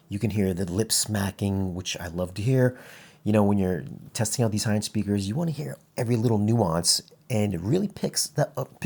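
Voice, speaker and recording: Low-pitched man reviewing audio gear. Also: a little mellow. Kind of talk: casual product review talk.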